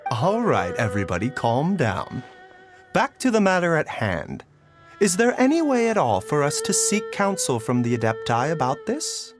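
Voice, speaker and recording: A man voicing a gentle, courteous pharmacist who talks in a polite, measured, formal way, in a video game. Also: on the high side, huge pitch swings, music in the background. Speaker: physician.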